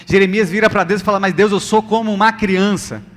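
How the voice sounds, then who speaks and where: Man, Brazilian accent, pastor preaching, high pitch, emphatic repetition, long echoing hall, live sermon. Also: steady tone, a little fast, fairly bright tone. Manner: emphatic, repetitive, rhetorical questions to listeners. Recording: long hall reverb, music underneath.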